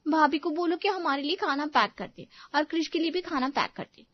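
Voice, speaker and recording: Brief: actress as spoiled married daughter, high voice, whiny and pushy, storybook cartoon style, dry muffled recording. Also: female voice, expressive, brisk, dry recording. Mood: demanding, indignant. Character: entitled, demanding married daughter, wheedling and boastful. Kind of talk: animated moral story voice acting.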